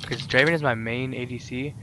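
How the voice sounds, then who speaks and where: A man's voice: medium pitch, a little fast, noisy background. A young man hosting a Minecraft let's play, chatting casually with friends over a voice call in a rambling, filler-heavy way. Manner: rambling, filler-heavy, animated gamer talk.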